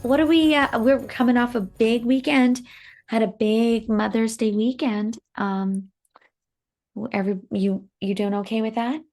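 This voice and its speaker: A woman's voice: on the high side, steady tone, a little slow, a little mellow. A middle-aged woman chatting casually as a podcast co-host, informal and affirming. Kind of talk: casual chat.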